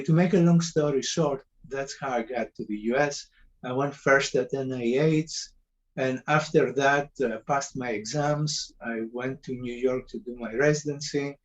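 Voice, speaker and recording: An older man with a Greek accent telling his story informally on a panel, in halting phrases. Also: mid-range voice. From Greece. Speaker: panelist, cancer center director. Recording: dull, lo-fi call audio.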